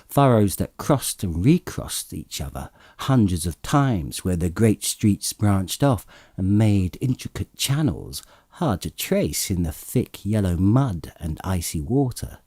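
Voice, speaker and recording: Male audiobook narrator: warm, dark tone, slow delivery, theatrical literary storytelling, dry close sound. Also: low-pitched, breathy edge, no room sound.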